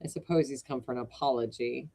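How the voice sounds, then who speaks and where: A woman reading aloud as a sulky, embarrassed young gentleman in a storybook. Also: a bass voice, fast, husky, voice pushed down, heavily acted. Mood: glum. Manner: sulky, gloomy.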